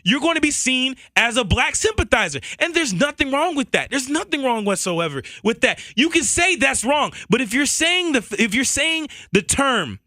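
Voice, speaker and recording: A man's voice: very high-pitched, very animated, moderate pace, few pauses, pushed and bright, dry recording. A man from the Southern US, co-hosting a radio show and speaking heatedly and emphatically in a studio. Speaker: radio co-host. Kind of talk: impassioned radio talk. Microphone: studio boom mic.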